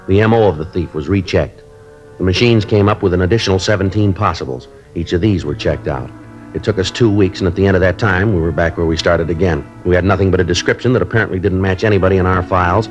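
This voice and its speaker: An actor playing a clipped, matter-of-fact police sergeant who questions a suspect and narrates the case in a 1950s radio drama. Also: male voice, sits low, muffled recording, lightly acted. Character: terse, dogged middle-aged male police sergeant.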